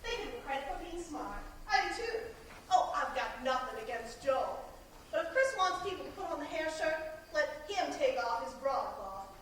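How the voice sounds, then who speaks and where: A woman on stage playing a bitter, sharp-tongued doctor's wife, wry and condescending with sugary endearments. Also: high-pitched, big pitch swings, normal speed. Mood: resentful. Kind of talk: theatrical stage play acting. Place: theater stage, captured from far off. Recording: distant echoey stage recording with hiss.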